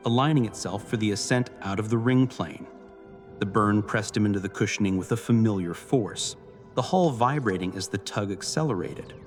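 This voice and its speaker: A man reading scripted cinematic science fiction narration in a measured, serious storytelling manner. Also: medium pitch, a little mellow. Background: music bed.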